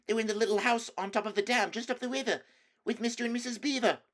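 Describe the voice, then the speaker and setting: A nervous young boy from a storybook tale, played by a man raising his voice high, talking fast and shakily. He sounds eager to please yet afraid. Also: fairly even intonation, a bit bright. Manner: trembling, high and hurried.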